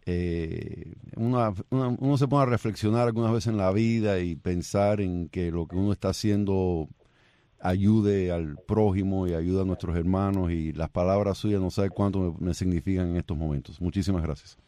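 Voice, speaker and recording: Deep, mellow lawyer guest. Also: male voice, normal speed, no echo. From Cuba. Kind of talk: radio interview.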